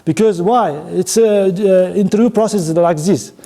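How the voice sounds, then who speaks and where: A male presenter with a distinctly high voice and a dark, rounded tone. He speaks English with an Uzbek accent in a halting, choppy way. His delivery is informal and interactive, addressing an audience directly. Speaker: conference speaker running an audience networking game.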